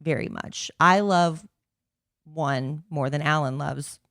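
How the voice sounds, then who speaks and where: A woman's voice: low-pitched, slow, fairly bright tone. A middle-aged woman, co-hosting a podcast in a chatty, rambling way. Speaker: podcast co-host. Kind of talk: casual chat.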